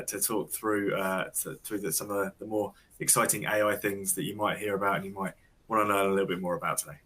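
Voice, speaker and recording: A man's voice: on the low side, sharp tone. A man working as a company technology lead, introducing himself on a webinar with hesitant restarts. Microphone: video call.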